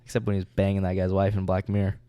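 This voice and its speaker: A man's voice: sits low, monotone, quick pace, dark tone, no room sound. A man co-hosting a podcast in casual chat, laid-back and swearing freely, trailing off with fillers. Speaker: podcast co-host.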